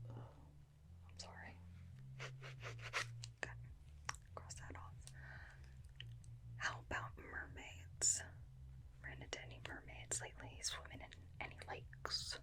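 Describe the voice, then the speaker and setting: A woman playing a chatty, suspicious, inept vampire slayer in a softly whispered ASMR roleplay, recorded close on a binaural microphone. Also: lightly acted, poor audio. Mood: mock suspicious. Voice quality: soft, breathy whisper. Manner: rambling, confiding, questioning.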